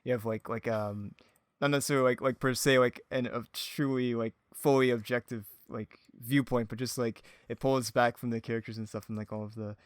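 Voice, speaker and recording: Hesitant, casual man, mid-pitched voice. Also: fairly even intonation, brisk, no echo, clean studio sound. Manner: hesitant, rambling, peppered with "like". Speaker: audio drama creator, podcast speaker. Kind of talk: casual podcast chat.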